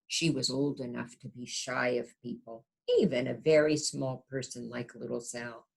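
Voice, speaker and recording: A woman reading a storybook aloud to young children in an expressive teacher's manner, pausing to check in with the listeners. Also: a bass voice, creaky.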